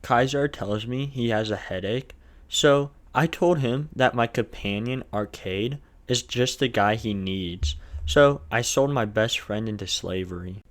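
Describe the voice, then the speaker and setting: A man's voice: mid-range voice, a little slow. A man with a lisp narrating a video game challenge run in a casual, crude, jokey way.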